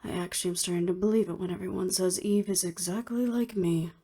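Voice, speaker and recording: A woman's voice: lowish voice, steady tone, fast, warm tone, slightly rough. A woman acting in an audio roleplay as an earnest, weary official who speaks formally and seriously. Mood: weary, resolute.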